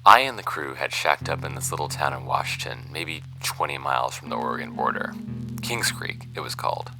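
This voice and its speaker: A man's voice: very deep voice, a little fast, music in the background, low-quality recording. An American man voicing the dry, world-weary memoir of a jaded woman who hunted monsters on television, in an audio drama. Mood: dry, confessional. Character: jaded woman TV bigfoot hunter reading her confessional memoir. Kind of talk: first-person memoir narration.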